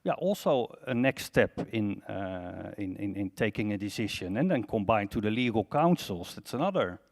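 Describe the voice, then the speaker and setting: A man's voice: fairly low pitch, animated, moderate pace, a little mellow. A man speaking as a panelist, plain-spoken and somewhat hesitant in his phrasing. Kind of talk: live panel discussion.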